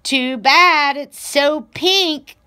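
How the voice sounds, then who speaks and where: A woman's voice: sits high, drawn-out words, sharp tone, pitched above the performer's own voice, full character voice. Woman voicing a haughty, teasing storybook donkey. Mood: scornful. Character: boastful, mocking male farm donkey in a storybook.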